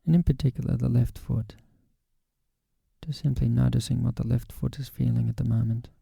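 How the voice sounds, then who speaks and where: A man guiding a body scan meditation in a calm, gentle, unhurried way with long pauses. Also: mid-range voice, gravelly, husky, no echo. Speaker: meditation guide.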